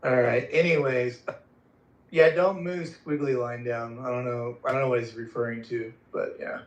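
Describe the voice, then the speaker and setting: Male moderator, medium pitch, casual and hesitant, dull echoey online audio. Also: fairly even intonation, moderate pace. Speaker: host and moderator of a live group audio room.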